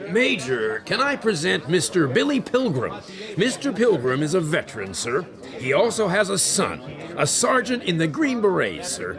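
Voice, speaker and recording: An actor playing an eager, deferential club member making introductions in a radio drama. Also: male voice, high-pitched, wildly swooping pitch, moderate pace, heavily acted. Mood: eager, proud. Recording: busy chatter of a luncheon crowd.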